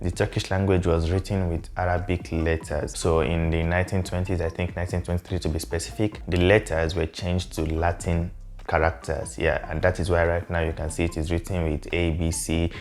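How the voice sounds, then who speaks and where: Deep-voiced young man explaining to camera. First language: Yoruba. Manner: relaxed, friendly explaining, slows to spell out words. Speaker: language vlogger. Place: small indoor room. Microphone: lapel mic.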